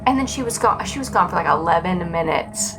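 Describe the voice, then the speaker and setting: A woman's voice: on the low side, a little fast, low-quality recording. Sarcastic woman streamer with music behind. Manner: sarcastic, profane, animated griping. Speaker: live game streamer. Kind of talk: casual, rambling livestream chat. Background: game music.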